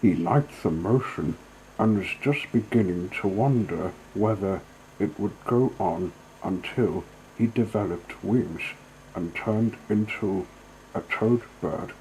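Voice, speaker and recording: A man reads storybook narration in a fairly low voice. He takes it very slowly, as a storyteller, with faint background noise in the recording. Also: warm tone, poor audio.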